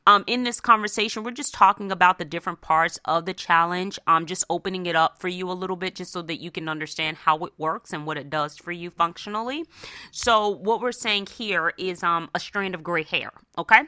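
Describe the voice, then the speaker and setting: A bright, punchy American woman speaks as a radio host, slightly low in pitch and very close and dry. She talks straight to listeners in a conversational, motivational way, often checking that they follow. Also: brisk, no room sound. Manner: conversational, addresses listeners directly with frequent "right?" and "okay?". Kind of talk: motivational personal finance talk to listeners.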